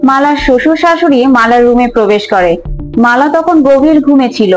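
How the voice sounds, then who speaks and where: A woman's voice: high voice, lively intonation, fairly quick. A woman narrating a scripted moral tale in storybook style. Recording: music bed under the voice.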